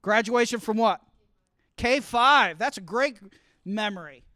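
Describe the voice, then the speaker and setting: A man giving a lively teaching talk to students, often asking the room questions as he explains. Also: high-pitched, a little slow, no room sound, clean studio sound. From grew up partly in Costa Rica. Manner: asks the audience questions, explains with extended metaphor. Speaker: college speaker teaching study skills. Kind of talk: interactive classroom talk.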